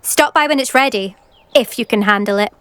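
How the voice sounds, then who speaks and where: A woman's voice: on the high side, a little fast, a bit bright, clear, resonant tone, natural voice. A woman playing a boastful, brusque teenage British girl, a competitive broom racer in a video game. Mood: boastful, scornful. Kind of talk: video game character dialogue. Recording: game music behind. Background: game music.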